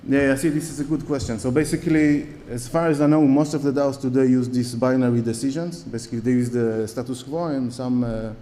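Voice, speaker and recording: A man's voice: medium pitch, steady tone, brisk, few pauses, mellow, a little hiss or hum. A man with an Israeli accent giving a conference talk from a lectern, hesitant with many fillers and restarts. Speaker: conference speaker. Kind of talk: conference talk with audience questions. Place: large conference hall on stage. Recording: long hall reverb.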